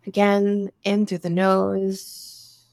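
A woman leading a guided meditation, giving soothing instructions in short phrases with long pauses between them. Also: mid-range voice, monotone, darkish tone, dry recording. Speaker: meditation guide, breathwork teacher.

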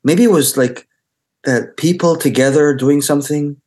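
A middle-aged man born in Israel whose first language is Hebrew, speaking as a photographer in an interview. He talks reflectively and haltingly, often restarting and searching for words. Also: fairly high pitch, a little mellow.

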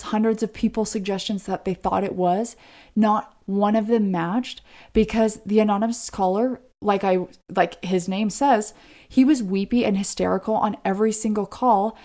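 Woman, American accent, podcast co-host, conversational storytelling, warm dark timbre, mid-range pitch. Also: steady tone, normal speed. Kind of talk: conversational true-crime storytelling.

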